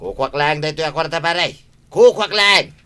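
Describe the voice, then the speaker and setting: A man's voice: fairly high pitch, moderate pace, bright, forceful tone, clear, resonant tone. A man playing a suspicious, defensive villager guarding his money in an Ilocano comedy radio drama, with an Ilocano accent.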